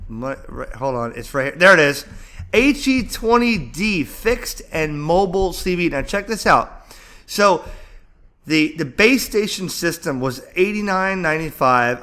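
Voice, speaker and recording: A man with a bright, forceful voice pitched a little high. He talks like an enthusiastic hobby vlogger, casual and chatty, rambling along as he thinks out loud. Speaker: amateur radio vlogger and host. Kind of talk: casual enthusiastic vlog commentary, browsing and narrating.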